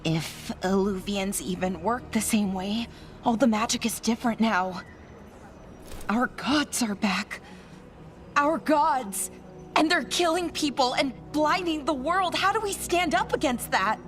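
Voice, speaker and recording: A woman's voice: a little high, animated, a little slow, faint music behind. An actress playing an eager, anxious young elven mage in a video game, earnest and spilling out her thoughts. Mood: distressed.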